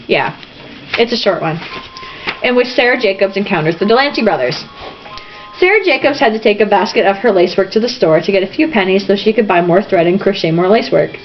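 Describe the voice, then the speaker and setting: A woman reading a novel aloud informally, theatrical and irreverent, with asides. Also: mid-range voice. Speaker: reader. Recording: dull lo-fi home recording, faint noise.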